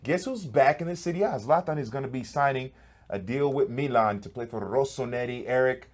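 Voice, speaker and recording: A man's voice: medium pitch, a little fast. A man from the United States hosting a casual sports talk show, chatty and upbeat.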